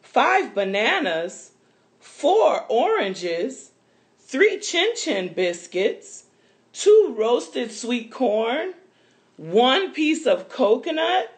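A man reading a storybook aloud, voicing a busy, warm market mother who exclaims loudly and then dotes fondly. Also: a little high, big pitch swings, extremely slow, voice pushed up, exaggerated voice. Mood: alarmed.

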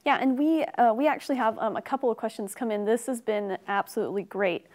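A woman's voice: a little high, fast, a little mellow. A young woman hosting a webinar in a studio, reading out questions and making upbeat announcements. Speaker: webinar host. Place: studio set.

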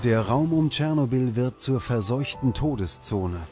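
A man reading scripted documentary narration in a measured, dramatic manner. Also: mid-range voice, lively intonation, darkish tone. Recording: music behind the voice. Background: background music.

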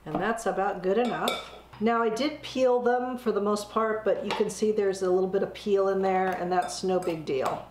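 A woman's voice: mid-range voice, moderate pace. Middle-aged woman with a cold, casual step-by-step cooking demo, recorded in a roomy kitchen with faint room noise. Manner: friendly, chatty, step-by-step instructions. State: slightly hoarse from a cold. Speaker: cooking channel host. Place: home kitchen, indoors.

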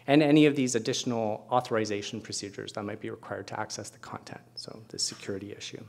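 A man's voice: lowish voice, steady tone, quick pace, gravelly, a little hiss or hum. A middle-aged man speaking as a keynote presenter at an academic conference, explaining things with conversational asides. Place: lecture hall at a podium, indoors.

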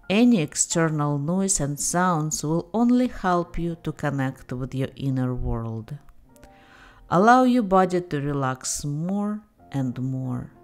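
Slow, low-voiced woman guiding meditation. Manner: calm, gentle, deliberate instructions with pauses. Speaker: guided meditation host. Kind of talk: guided meditation, soothing scripted narration. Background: soft meditation music.

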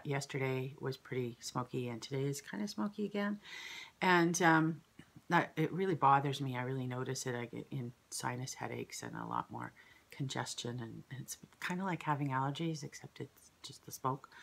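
A woman in her fifties with a croaky throat, chatting at home to the camera as host of a knitting podcast, rambling with many fillers. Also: extremely low pitch, animated, normal speed, fairly bright tone. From Canada. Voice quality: slightly croaky. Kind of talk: casual chat to camera. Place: kitchen at home, indoors.

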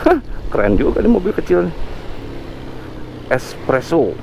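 A man's voice: on the high side, very animated, a little slow, gravelly, darkish tone. A man hosting a motovlog, casually narrating the streets while riding a scooter, thinking aloud with fillers. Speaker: motovlog host. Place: outdoors on a motor scooter in town traffic. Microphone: helmet or body camera mic. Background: faint traffic and engine noise.

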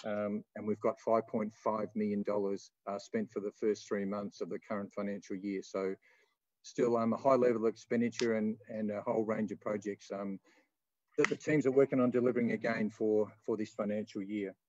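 A man with an Australian accent giving a formal council finance report in a measured, hesitant, explanatory way. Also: fairly low pitch, fairly even intonation, a little mellow, dry recording. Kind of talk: formal meeting report and answers. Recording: slightly dull meeting audio.

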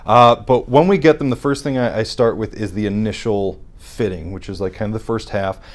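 Low-voiced man explaining technical testing. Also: fairly quick. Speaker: hearing scientist guest. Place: dark studio set with armchairs.

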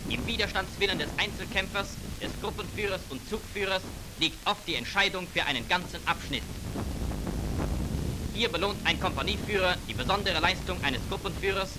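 A man's voice: sits high, brisk, noisy background. A man narrating a wartime propaganda newsreel in a formal, declamatory manner, on an old 1940s film soundtrack. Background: loud music, war sound effects.

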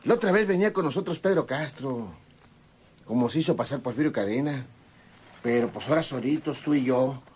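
An actor playing a simple, nervous rural outlaw sidekick in a radio drama, hesitant and folksy, with a northern Mexican accent. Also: male voice, medium pitch, animated, fairly quick, a little mellow, husky. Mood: uneasy. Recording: noisy old radio drama recording.

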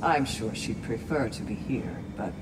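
A man playing a courteous, formal attendant in a video game, speaking in a measured and polite way. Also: medium pitch, noisy background, close to an everyday voice. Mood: composed. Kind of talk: dramatic video game acting.

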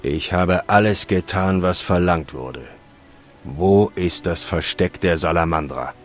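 An actor playing a cool, gruff witcher in a dubbed video game. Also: sits low, unhurried. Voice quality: flat, cool. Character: gruff grown male monster-hunting witcher.

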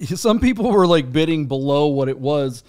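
Guy with a pretty high voice bantering on a podcast, super informal, close mic. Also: animated, normal speed, a little mellow, clear, resonant tone. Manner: informal, peppered with "you know" and "right". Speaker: podcast co-host. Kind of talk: casual banter. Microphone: close studio microphone on boom arm.

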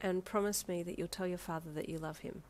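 A woman's voice: fairly low pitch, fairly even intonation, quick pace, a little mellow, a little hiss or hum, natural voice. A woman voicing a stern, exasperated but loving mother who speaks in firm, commanding phrases, in a narrated story. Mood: soft, warning. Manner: commanding, firm, punctuated orders.

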